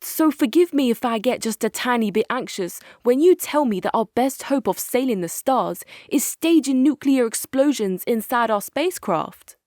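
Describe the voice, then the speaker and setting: A British actress playing a frank, sceptical military commander in a strategy game, with a wry, plain-spoken manner. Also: female voice, a little high, expressive, moderate pace, few pauses, darkish tone, no room sound, studio quality, natural voice. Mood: anxious, sarcastic. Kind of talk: dramatic scripted game character monologue.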